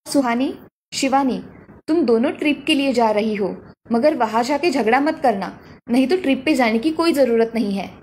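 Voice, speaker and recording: A woman's voice: fairly high pitch, moderate pace, a little hiss or hum, close to an everyday voice. Woman voicing a caring cartoon mother. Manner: gentle and motherly, firm when warning. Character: caring mother of two grown daughters.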